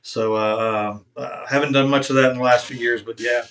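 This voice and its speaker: A man in his fifties speaks as a guest in a casual interview. He is soft-spoken and hesitant, and he fills his speech with ums and uhs. His sentences often trail off quietly. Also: medium pitch, steady tone, a little fast, a little hiss or hum. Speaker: guest, author and veteran.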